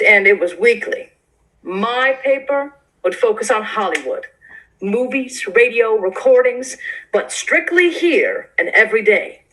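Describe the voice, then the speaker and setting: A woman's voice: a little high, a bit bright, low-quality recording. A woman playing a brash, self-satisfied male Hollywood newspaper publisher of the 1940s in a staged reading, telling his story in a wry, boastful, conversational way. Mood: proud, breezy. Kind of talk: theatrical monologue in a staged play reading.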